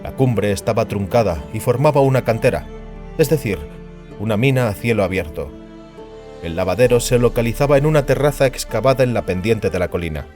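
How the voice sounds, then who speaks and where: A man's voice: lowish voice, lively intonation, brisk, darkish tone. Male fantasy audiobook narrator over music. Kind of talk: scripted literary narration of a fantasy novel.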